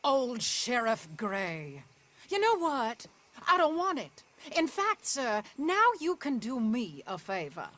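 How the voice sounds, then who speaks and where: An actress playing an imperious older Southern plantation matriarch, curt and sardonic, in a western video game. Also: female voice, on the high side, huge pitch swings, takes it slowly, fairly bright tone, exaggerated voice. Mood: sly, commanding. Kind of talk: video game cutscene acting.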